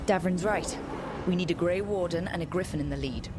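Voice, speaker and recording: An actress playing a resolute fantasy team leader in a video game, decisive and commanding. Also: female voice, fairly low pitch, brisk, noisy background, close to an everyday voice. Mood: steady resolve. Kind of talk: video game drama acting.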